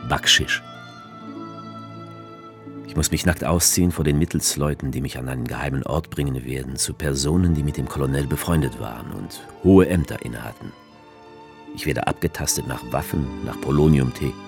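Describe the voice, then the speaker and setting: A man's voice: very deep voice, moderate pace, gravelly. An actor playing a male writer who travels to Tripoli and narrates the story in a dry, ironic way. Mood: wry. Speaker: narrator as author character. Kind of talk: radio drama narration. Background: music score.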